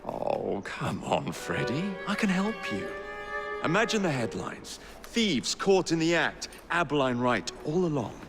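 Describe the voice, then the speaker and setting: An actor playing a cocky, teasing young Victorian assassin in a video game, breezy and persuasive, with a gravelly voice. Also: male voice, fairly high pitch, sing-song, unhurried, music in the background. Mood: teasing, coaxing. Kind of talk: dramatic game cutscene acting.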